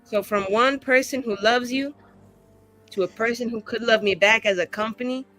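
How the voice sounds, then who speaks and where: A woman's voice: fairly high pitch, moderate pace, a bit bright. A young woman chatting casually and jokingly in a group, with a slangy, theatrical, hyperbolic way of talking. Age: young adult. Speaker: cast member of a tabletop roleplaying show. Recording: music behind the voice. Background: music.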